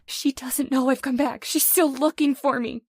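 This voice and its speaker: An actress playing a frightened, pleading wife in an old-time radio horror play, anxious and breathless. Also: female voice, high voice, steady tone, moderate pace, noisy background. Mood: terrified, pleading.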